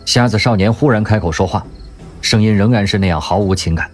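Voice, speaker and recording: A man narrating an audio drama in an expressive storytelling manner. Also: on the low side, a little fast, faint music behind.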